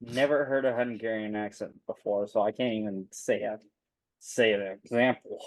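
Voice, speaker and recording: A man's voice: lowish voice, fairly even intonation, moderate pace, no echo, poor audio. A man playing a tabletop roleplaying game, bantering casually and speaking for his character.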